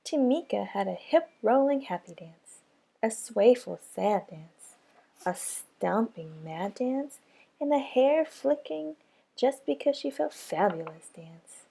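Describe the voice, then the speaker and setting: A woman's voice: a little high, lively intonation, slow, vocal fry, mellow, breathy edge. A woman reads a children's story aloud in the style of a preschool teacher at story time.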